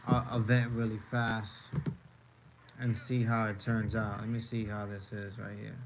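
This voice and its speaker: A man's voice: fairly low pitch, little pitch movement, measured pace, noisy background. A man from the United States chatting casually on a live stream as he reacts to videos, rambling and repeating his phrases with many fillers.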